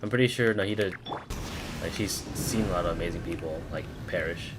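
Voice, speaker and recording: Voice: low voice, brisk, very noisy. A game streamer giving casual, rambling live commentary on a playthrough.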